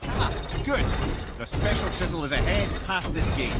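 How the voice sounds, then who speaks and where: A man's voice: high-pitched, sing-song, moderate pace, very noisy. A man voicing a wry, talkative lore-keeper in a video game, telling legends theatrically. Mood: eager, wry.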